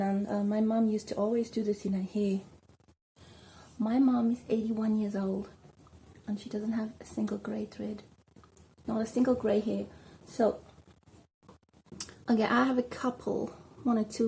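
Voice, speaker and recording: A South African woman chatting casually at home while doing her hair, rambling and filling pauses with ums. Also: a little high, warm tone, slight background noise. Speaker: vlogger. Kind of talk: casual hair tutorial chat.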